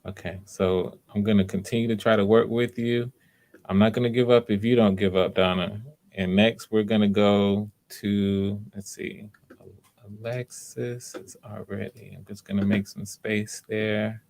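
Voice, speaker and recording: Encouraging male audition host, lowish voice. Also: steady tone, unhurried, darkish tone. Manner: encouraging, hesitant repetitions. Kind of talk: casual live hosting and coaching.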